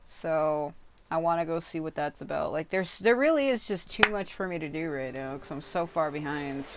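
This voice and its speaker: A woman doing casual, rambling livestream commentary while playing a video game, informal and quick to exclaim at what happens. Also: sits low, lively intonation, heavy background noise. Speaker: game streamer. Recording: game music behind the voice. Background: video game music.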